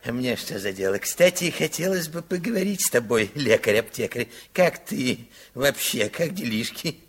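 An actor playing a gloomy, pompous, spiteful envier, a fairytale villain in a 1960s Soviet radio play, with a raspy voice and a slow drawl. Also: male voice, medium pitch, expressive, a bit bright. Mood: feigned indifference. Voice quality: raspy, creaky.

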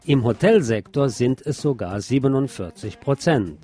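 A man's voice: mid-range voice, lively intonation, moderate pace, loud music behind the voice. A man presenting scripted radio news, with brief asides dropped in between the items.

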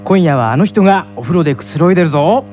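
Variety radio host, mid-pitched and animated, playful presenter, slightly dull sound with faint music. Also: quick pace. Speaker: host of radio game and listener corner.

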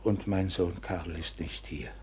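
An actor playing a frail, remorseful old father of noble birth in a classic radio drama, feeble, halting and lamenting, on a noisy recording. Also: low-pitched, steady tone, darkish tone. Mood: weak, mournful.

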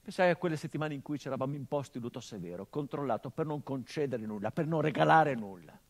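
A bitter, haunted son mourning a murdered father in a stage monologue. His voice sits at a medium pitch and carries a sharp irony. It swells into loud outbursts, then drops to low, hushed tones. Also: lively intonation, quick pace. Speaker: stage actor. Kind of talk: theatrical monologue.

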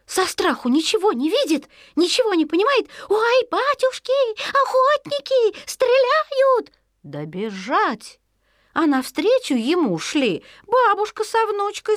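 A woman tells a children's folk tale in a high, swooping voice. Her delivery is folksy and singsong, lingering on repeated words. The recording is close and dry. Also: very animated, unhurried, no room sound. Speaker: storyteller. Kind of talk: expressive scripted narration of a children's folk tale.

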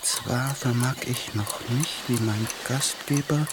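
A male actor plays an effusive, self-absorbed musician in a radio drama. He has a mid-pitched voice and savors his words in a mannered, rapturous way. Loud ambient noise surrounds him. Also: slow pace, lightly acted. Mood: rapturous, languid. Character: effusive, self-absorbed male German pianist and former hypochondriac. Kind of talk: German radio play acting.

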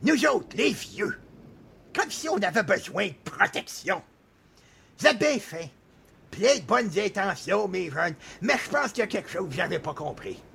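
Bored old man, Quebec French accent, high creaky voice, folksy dramatic complaining, radio comedy, noisy recording. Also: big pitch swings, measured pace, fairly bright tone, full character voice. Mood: resigned, reproachful. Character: bored, exasperated old man, cooped up at home.

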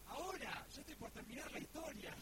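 A man's voice: very high-pitched, lively intonation, a little fast. A man playing an easily flustered storyteller with a Rioplatense Argentine accent, telling a made-up space tale to a girl in a comedy sketch. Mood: exasperated. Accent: Rioplatense Argentine Spanish. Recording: very noisy amateur recording.

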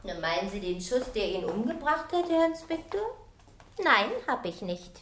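Cheeky, animated housemaid. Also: female voice, mid-range voice, moderate pace. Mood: pert. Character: cheeky, pert village housemaid. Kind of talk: radio drama acting.

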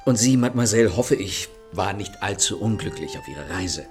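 A suave gentleman thief. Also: sits low, animated, a little fast. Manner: smooth, courteous, mocking. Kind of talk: radio drama acting. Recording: studio radio play with faint music.

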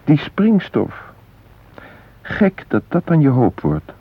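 An actor playing a shaken former hostage, retelling a brush with death in an intense, introspective radio drama monologue. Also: male voice, fairly high pitch, wildly swooping pitch, slow pace, breathy edge, heavily acted. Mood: intense, reflective. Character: shaken male former train hostage recounting facing death. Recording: muffled, narrow-band old radio recording.

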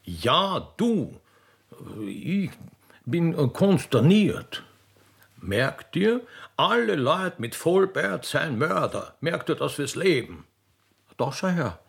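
A man acts out a bewildered Austrian nobleman in a radio play. He stammers and repeats himself in a raised, gravelly, swooping voice. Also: fairly high pitch, big pitch swings, takes it slowly, pitched above the performer's own voice, full character voice. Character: baffled count and his dim, stammering son.

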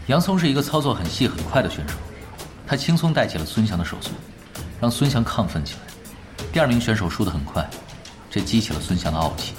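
A man's voice: lowish voice, sing-song, fast talker, over music. A man playing a composed, astute gaming veteran in an audio drama, matter-of-fact and coolly analytical. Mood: calm, analytical. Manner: terse, matter-of-fact, explanatory.

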